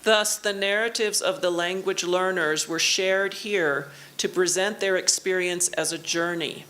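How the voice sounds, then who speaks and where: A woman delivers an academic lecture in a university auditorium. Her voice sits fairly low and she speaks very slowly, in short measured phrases with pauses between them. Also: fairly even intonation, fairly bright tone. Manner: slow, measured, pausing for the interpreter. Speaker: keynote lecturer. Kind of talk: academic lecture in English with consecutive interpreting.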